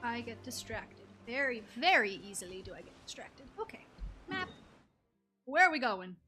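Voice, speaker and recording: A woman's voice: on the high side, big pitch swings, normal speed, pushed and bright. A woman doing casual live game commentary as a streamer, playful and rambling. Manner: playful, rambling, makes silly sound effects. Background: game music.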